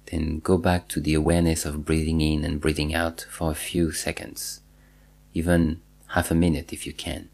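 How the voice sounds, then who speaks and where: A man guiding a body scan meditation, giving measured instructions in short phrases with pauses and repetition. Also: rumbling low, flat delivery, a little mellow. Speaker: meditation teacher.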